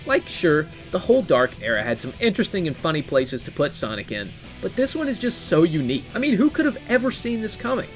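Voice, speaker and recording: A man's voice: high voice, very animated, quick pace, hardly any pauses. A young man giving enthusiastic, casual scripted video game commentary with playful, slangy asides. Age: young adult. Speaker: video game reviewer, presenter. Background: background music.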